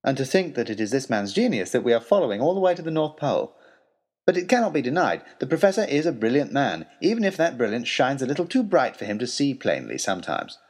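A man's voice: medium pitch, sing-song, fast talker, darkish tone. A man playing an earnest tutor turned adventurer, reading out a letter in a formal, eloquent, wryly self-deprecating way. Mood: thoughtful, wry. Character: earnest, wordy young male tutor turned would-be adventurer. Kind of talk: dramatic reading of a letter, storytelling.

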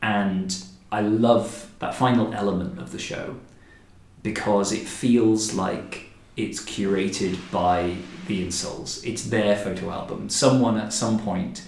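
A man's voice: on the low side, fairly even intonation, slow, darkish tone. A man in a conversational podcast discussion, talking in a reflective, explanatory way. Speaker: podcast guest or host discussing a production. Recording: roomy, echoey sound.

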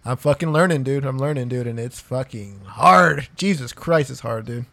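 A man in a casual chat among friends, relaxed and profane, saying "dude" often and stumbling over restarts. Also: medium pitch, normal speed, creaky. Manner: laid-back, swears a lot, says "dude" constantly; stammers and restarts phrases. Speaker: podcast co-host.

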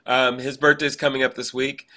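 A man's voice: medium pitch, level tone, normal speed, pushed and bright. A man co-hosting a podcast, chatting casually and reminiscing in a rambling, anecdotal way. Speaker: podcast co-host.

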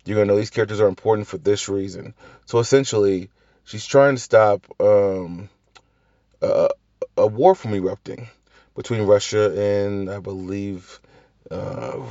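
A man's voice: fairly low pitch, steady tone, unhurried, dark tone, dry recording, dull audio. A man hosting a casual solo show about TV series, rambling through tangents with restarts and the odd swear, with a slight cough left over from the flu. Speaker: podcast host reviewing TV shows. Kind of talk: casual solo review chat.

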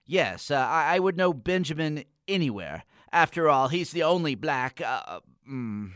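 An actor playing a morose, pompous schoolboy with florid, wordy gothic diction in a comic radio play. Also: male voice, on the high side, very animated, normal speed, fairly bright tone, no echo, studio quality. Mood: flustered. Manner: pompous, wordy, hesitant when flustered.

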